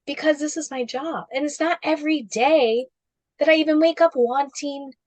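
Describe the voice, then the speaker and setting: A woman's voice: high voice, normal speed, no room sound. A woman guest in a casual interview, talking animatedly with lots of fillers and playful asides. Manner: animated, heavy use of "like", jumps into playful quoted voices. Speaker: interview guest, spiritual business coach.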